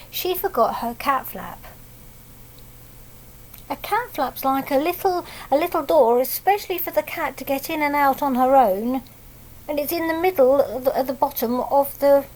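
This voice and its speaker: A woman reading a picture book aloud to young children, pausing to explain things simply and ask them questions. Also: high-pitched, animated, a little mellow, poor audio. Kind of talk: read-aloud picture book storytelling with asides.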